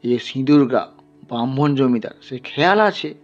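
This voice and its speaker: A man voicing an angry, scornful village Brahmin who hectors a poor man loudly in an audio story. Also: medium pitch, lively intonation, moderate pace, dull audio, faint music behind, lightly acted. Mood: scolding. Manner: loud, scolding, hectoring.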